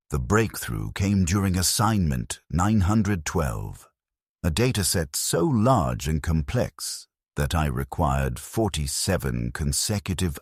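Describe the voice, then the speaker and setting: A man narrating a scripted first-person story in a measured, grave manner. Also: rumbling low, breathy edge, no echo, clean studio sound.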